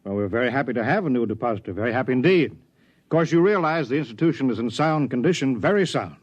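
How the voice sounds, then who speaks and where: An actor plays a fussy banker in a 1950s radio play. He chatters in an obsequious, over-reassuring way, repeating himself in a mid-pitched voice. The sound is dry and close. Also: lively intonation, fast talker, no echo, muffled recording, lightly acted. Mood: eagerly reassuring. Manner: chattering, repetitive, obsequiously polite. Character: fussy, over-reassuring male 1930s bank officer. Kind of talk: 1950s radio drama acting.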